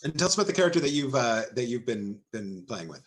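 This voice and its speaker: A man's voice: mid-range voice, very animated, fast talker. A man hosting a casual panel discussion, asking questions in thoughtful, hesitant phrasing with repeats. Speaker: interviewer and discussion host.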